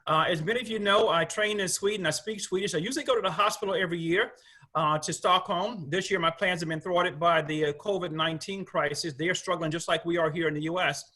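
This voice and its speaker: Male physician lecturer, high and bright voice, casual lecturing with restarts and little quoted asides. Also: quick pace. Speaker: rheumatologist giving a lecture. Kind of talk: conference lecture over slides.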